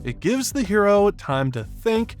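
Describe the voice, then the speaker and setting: A man's voice: high voice, very animated, a little slow, faint music behind. A man delivering scripted narration as the narrator of a video essay.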